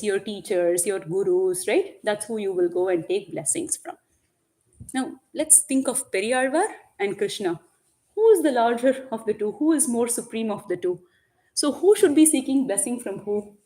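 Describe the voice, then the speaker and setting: A woman's voice: mid-range voice, darkish tone. Woman explaining Tamil devotional teachings. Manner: explanatory, asks and answers her own questions; mixes in Tamil and Sanskrit terms. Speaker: religious teacher. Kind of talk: devotional lecture to a group.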